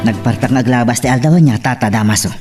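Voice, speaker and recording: A man's voice: medium pitch, quick pace, recorded from a distance, over music, natural voice. A man acting a bitter, grieving family man with an Ilocano accent in an audio drama. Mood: wistful. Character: bitter, grieving grandfather raising his grandchild.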